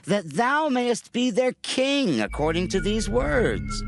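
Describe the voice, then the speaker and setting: A man's voice: high-pitched, heavily acted. An actor playing a scheming male foe who reads out a sly, threatening letter in a dramatized scripture reading. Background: music.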